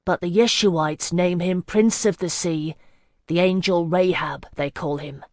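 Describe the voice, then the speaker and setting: A woman's voice: lowish voice, fairly even intonation, measured pace, darkish tone, no room sound, clean studio sound, natural voice. A woman voicing a once carefree young man, now desperate, speaking in a raised, urgent, strained voice in a fantasy audiobook. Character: young man, careless prince turned desperate.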